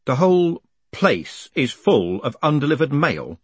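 Guy doing a sceptical tired man in charge for an audiobook, dry, sardonic, pitch moves around a lot. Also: very animated, measured pace, slightly rough, dry recording, heavily acted. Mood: dismayed. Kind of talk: audiobook character acting.

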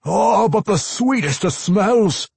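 A man voicing a gruff, tormented dwarf vampire for a fantasy story, growling and exclaiming in rustic old-fashioned speech. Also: sits high, animated, slow pace, darkish tone, no room sound, voice pushed up, exaggerated voice. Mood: pleading, hungry.

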